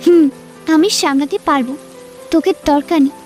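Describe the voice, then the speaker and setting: Feisty young city girl, played by a woman, very high voice, petulant, romantic audio story, music behind. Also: darkish tone, lightly acted. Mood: defensive. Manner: huffy, petulant, quick to retort. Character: feisty, easily irritated young city girl on village holiday.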